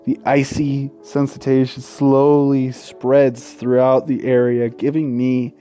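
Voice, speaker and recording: Slow-reading man hosting a game playthrough. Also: mid-range voice, little pitch movement, mellow. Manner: stumbles over text and corrects himself, swears casually. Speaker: gaming video host. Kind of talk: reading a visual novel aloud with casual asides. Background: faint game music.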